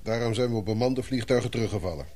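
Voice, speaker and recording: A man playing a gruff, weary military commander with a dry, sardonic, clipped manner in a radio play. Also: mid-range voice, racing, mellow, close to an everyday voice. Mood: weary, blunt.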